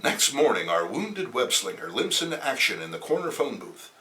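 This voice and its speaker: A man's voice: medium pitch, big pitch swings, moderate pace, sharp tone, recorded from a distance. A man hosting a dramatic comic book reading, theatrical, with wry, sardonic asides.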